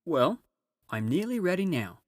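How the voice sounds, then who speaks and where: A man's voice: a little high, very animated, no room sound, studio quality, voice pushed up. A man voicing a bustling, unflappable, motherly female beaver in a storybook, with folksy, fussy talk. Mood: fussing. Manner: folksy, coolly unhurried.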